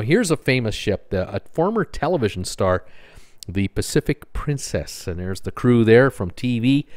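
Older man, low voice, casual vlog narration, rambling, lots of fillers, dry close sound. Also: darkish tone, dry recording. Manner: rambling, many "uh" fillers, wistful about old ships. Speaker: cruise vlogger. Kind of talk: casual narration over photos.

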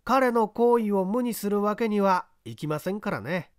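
Man acting a high, confident young reporter. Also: expressive, moderate pace, clean tone, dry recording, studio quality. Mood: cheerfully confident. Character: confident, courteous young male newspaper reporter sleuth.

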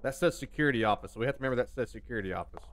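A man's voice: medium pitch, animated, racing. A man, chatting and joking on a headset mic while streaming a game from his home desk. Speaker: gaming streamer. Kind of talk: casual live commentary while playing.

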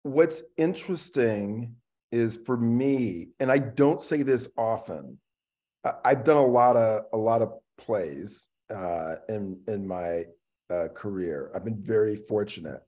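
A middle-aged man, an actor, talking casually as a panel guest on a video call in a reflective, halting way, often repeating words. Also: lowish voice, dark tone. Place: room at home, on a video call.

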